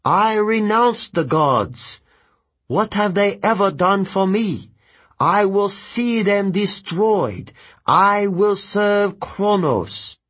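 A man's voice: sits high, lively intonation, slow pace, a bit bright, pitched above the performer's own voice, full character voice. A man voicing a bitter, defiant teenage boy in an audiobook, speaking with resentful emphasis. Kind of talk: audiobook character voice.

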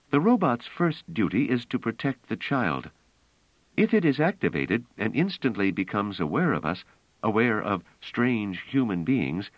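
A man reading aloud, voicing a gentle but firm young woman with a soft, coaxing manner. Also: medium pitch, no room sound, pitched above the performer's own voice. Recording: dull, dry studio audio.

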